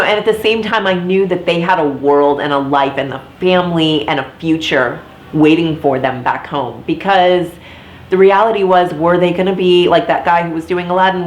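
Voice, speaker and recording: A woman's voice: deep voice, slight background noise. A woman in her thirties, a former theme park performer, chatting casually to camera with a slightly congested, nasal voice. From Florida, US. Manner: chatty, many fillers and asides. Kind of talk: casual talking-head vlog. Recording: echoey room.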